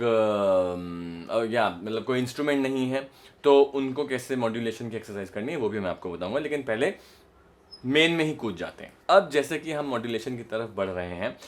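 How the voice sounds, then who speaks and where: Man, Indian accent, medium pitch, instructional voice-coach style, emphatic, frequent check-ins with the listener. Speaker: voice modulation coach. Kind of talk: instructional explanation to camera with demonstrations.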